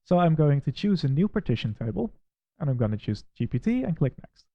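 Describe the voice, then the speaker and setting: A man from the Netherlands with a Dutch accent, walking through a software installer step by step and critiquing it in a plain, methodical way. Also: a little high, sing-song, fairly quick, no room sound, lo-fi sound. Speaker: tech reviewer. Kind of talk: screen-recorded software walkthrough.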